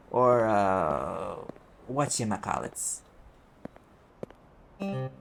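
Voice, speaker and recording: A man's voice: on the low side, creaky, a little hiss or hum, full character voice, low-quality recording. A man playing a sarcastic, impatient private eye mage in an audio roleplay, explaining things in a conversational, exasperated way. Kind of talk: audio roleplay acting, one side of a dialogue.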